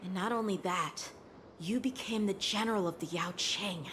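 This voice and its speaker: A woman's voice: medium pitch, normal speed, a bit bright, slight background noise, close to an everyday voice. An actress playing an earnest, inquisitive young woman in a video game. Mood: curious. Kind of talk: dramatic video game voice acting.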